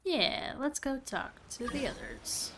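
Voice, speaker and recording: A woman's voice: sits high, wildly swooping pitch, takes it slowly, gravelly, background noise. A woman streamer giving casual live gameplay commentary in short asides, sometimes reading game lines aloud. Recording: game music behind the voice.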